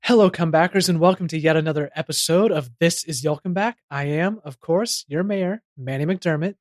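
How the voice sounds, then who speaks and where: A man playing an excitable, rambling small-town mayor who hosts a comedy podcast. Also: a little high, fairly quick, dry recording, studio quality, natural voice. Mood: excited, rambling. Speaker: podcast host. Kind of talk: comedy audio drama podcast hosting.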